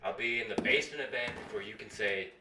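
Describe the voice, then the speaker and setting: A man's voice: fairly low pitch, fast, background noise, close to an everyday voice. A man acting in a radio play as a joking, put-upon barbershop assistant, sardonic and casual. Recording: echoey room, far from the mic.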